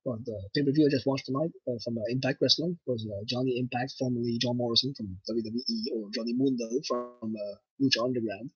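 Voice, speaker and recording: Husky French Canadian man chatting on stream. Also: mid-range voice, fast, hardly any pauses, dry recording, lo-fi sound. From Nova Scotia, Canada. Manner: rambling, relaxed, with frequent fillers. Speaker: livestream host. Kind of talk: casual livestream chat.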